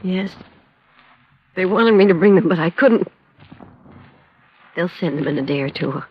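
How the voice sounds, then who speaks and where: An actress playing a bewildered, grieving American widow in a 1950s radio drama, speaking in a halting, emotional way. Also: female voice, fairly low pitch, animated, slightly rough. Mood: halting, tearful. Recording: dull, lo-fi old radio recording.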